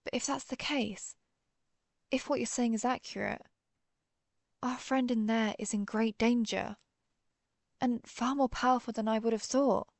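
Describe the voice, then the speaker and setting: A woman playing an earnest, conflicted vampire hunter who is herself a vampire, speaking formally with hesitant pauses in a dramatic audio roleplay. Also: fairly high pitch, steady tone, vocal fry, no room sound. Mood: grave, resolute. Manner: formal, old-fashioned wording, hesitant pauses. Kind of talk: dramatic audio roleplay, one-sided dialogue.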